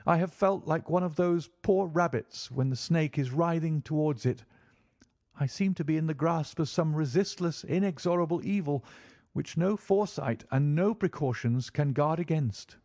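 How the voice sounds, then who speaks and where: Guy doing a scared young gent, higher voice, pleading, mellow and dry. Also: normal speed, no room sound, close to an everyday voice. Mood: anxious. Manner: earnest, pleading, raised pitch. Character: frightened young Englishman, anxious and helpless. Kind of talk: audiobook character reading.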